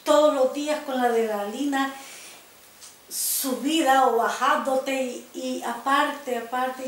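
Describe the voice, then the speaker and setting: A woman in her sixties from El Salvador with a Salvadoran Spanish accent, recounting her life in a living room interview, reflective and halting, often repeating her starts. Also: a little high. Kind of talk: personal life-story interview. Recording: roomy home video with faint hiss.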